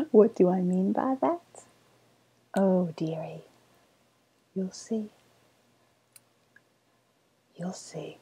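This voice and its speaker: A woman playing a sly, cooing woodland witch with a faint menace, in a breathy, softly spoken ASMR roleplay whisper. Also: medium pitch, animated, exaggerated voice. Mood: sly, teasing. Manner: slow, savouring, coaxing delivery.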